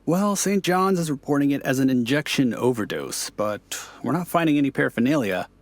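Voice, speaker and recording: A man's voice: medium pitch, animated, a little fast, dry recording, lightly acted. A male actor as a probing, businesslike police officer. His voice sits in the middle range, played naturalistically for audio drama and recorded dry.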